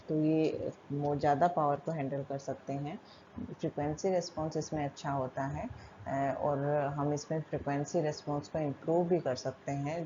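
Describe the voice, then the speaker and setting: Low-voiced Indian woman lecturing, very noisy recording. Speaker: college lecturer. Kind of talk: explanatory technical lecture. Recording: muffled lo-fi audio with loud background noise.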